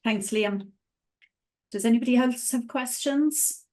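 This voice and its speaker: A woman's voice: on the high side, measured pace, dark tone. A woman moderating an online webinar, making announcements in an upbeat, chatty way over a video call.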